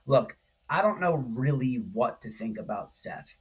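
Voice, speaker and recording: A man's voice: medium pitch, lively intonation, measured pace, slightly rough. A man hosting informal true crime commentary, speaking emphatically in slangy language and often checking in with the listener. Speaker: YouTube commentary host.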